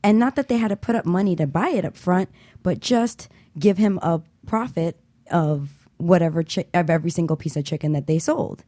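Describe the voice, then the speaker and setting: A woman's voice: fairly low pitch, fairly quick, mellow, dry recording. A woman co-hosting a casual motivational talk show, making her points emphatically and repeating them for effect.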